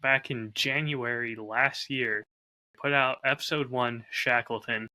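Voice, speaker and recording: A man with a very bright, crisp-edged voice at a middling pitch hosts a casual podcast. He speaks reflectively and candidly, laying out his thoughts like someone used to telling stories. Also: steady tone, a little slow, low-quality recording. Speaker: podcast host and audio drama creator.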